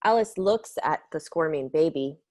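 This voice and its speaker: A woman's voice: low-pitched, lively intonation, moderate pace, a little mellow. A woman narrator reading scripted stage directions for a children's story in a dramatic reading.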